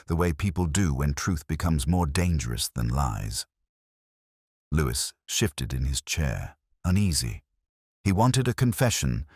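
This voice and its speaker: A man acting as a brooding, world-weary police detective narrates his own case in a scripted audio drama. His voice is extremely deep and somewhat husky, with expressive rises and falls. The phrasing is literary, introspective and measured. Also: no room sound, studio quality, exaggerated voice.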